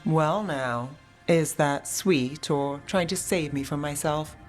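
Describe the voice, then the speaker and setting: A woman's voice: deep voice, takes it slowly. An actress playing a dry, world-weary woman detective in a video game, wry and understated, with music behind her. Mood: wry, guarded.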